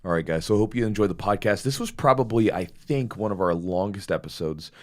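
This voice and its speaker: Slightly hoarse male podcast host, very deep voice, loose rambling delivery. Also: fairly quick. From Louisville, Kentucky. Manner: rambling, animated storytelling with many fillers. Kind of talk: casual podcast chat.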